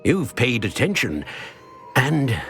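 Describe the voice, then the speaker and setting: A man's voice: medium pitch, sing-song, takes it slowly, a bit bright, soft background music, heavily acted. A man voicing a dignified old wizard professor in a video game, formal and stately. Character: dignified old male professor in an enchanted portrait.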